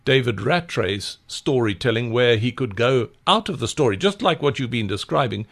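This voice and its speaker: A male radio host with a low, gravelly voice that rises and falls a great deal. He talks in a conversational, reflective way as he introduces music and looks back on the guest's answers. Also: animated, moderate pace, fairly bright tone. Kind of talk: radio interview hosting.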